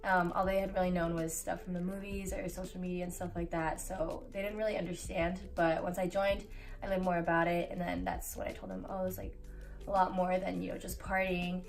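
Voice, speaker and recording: A woman's voice: lowish voice, little pitch movement, brisk, creaky. A twenty-one-year-old woman explaining things plainly in a casual interview. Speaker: college student, sorority member. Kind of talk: casual personal interview answers. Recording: distant mic, music underneath.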